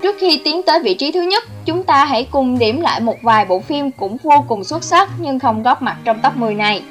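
A woman's voice: high voice, expressive, a little fast, faint music behind. A woman reading a scripted voice-over narration as the narrator of a countdown video. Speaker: narrator of a film countdown channel.

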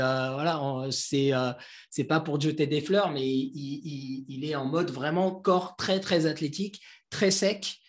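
A man's voice: a little high, a little mellow. A man hosting a casual podcast, asking long, rambling questions with many fillers. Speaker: podcast host.

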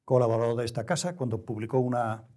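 A middle-aged man who is a writer, talking reflectively in an interview, choosing his words with pauses and restarts. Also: mid-range voice, mellow. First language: Spanish. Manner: measured, searching for words, frequent restarts. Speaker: novelist, interview guest.

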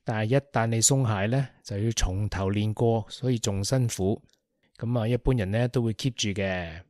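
A man's voice: lowish voice, normal speed, a little mellow, no room sound, studio quality. A man with a Hong Kong Cantonese accent hosting a radio chat, joking and laughing easily. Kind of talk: casual chat.

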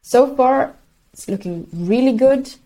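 A woman's voice: sits high, a little slow, mellow. A woman whose first language is Swedish, explaining medical research as an interview guest, hesitant and searching for words.

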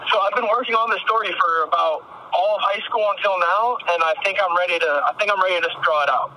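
High-voiced young American man, noisy line. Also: fast talker, sharp tone. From Michigan, United States. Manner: laid-back, slangy, loosely phrased. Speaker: musician being interviewed. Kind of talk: casual chat.

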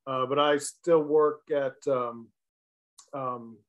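A man's voice: medium pitch, steady tone, takes it slowly, highs cut off. A man, a former ballplayer, chatting casually and telling stories as a podcast guest. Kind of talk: casual chat, storytelling.

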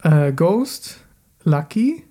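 Podcast panelist, man, soft mellow tone, relaxed conversational film talk. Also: on the high side, very slow. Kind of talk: casual film chat.

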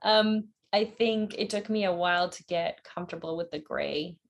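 A woman's voice: on the low side, normal speed, creaky. A woman speaking as a podcast guest, talking in a reflective way and laughing easily. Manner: warm, reflective, laughs often. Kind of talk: casual interview chat.

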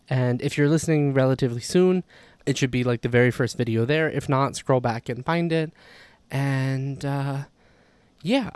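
A man's voice: medium pitch, darkish tone, clear, resonant tone, dry recording. A man hosting a language podcast, chatting casually and rambling a little with fillers. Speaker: podcast host.

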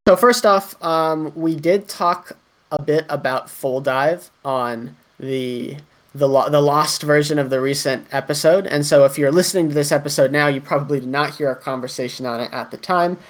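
A man's voice: on the high side, normal speed. A man co-hosting a casual anime podcast, rambling through his points with lots of fillers, restarts and "like". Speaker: podcast co-host.

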